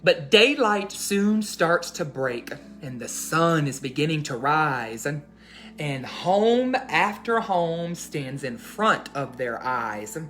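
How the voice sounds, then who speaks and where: A woman's voice: low-pitched, big pitch swings, slow, fairly bright tone, soft background music. A woman hosting a children's read-aloud, narrating a storybook in a teacherly way and putting questions to listeners.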